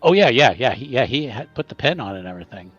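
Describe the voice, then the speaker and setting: A man's voice: medium pitch, sing-song, quick pace, fairly bright tone, dull audio, soft background music. A man playing a tabletop game, chatting casually and improvising in a stop-start way, repeating words.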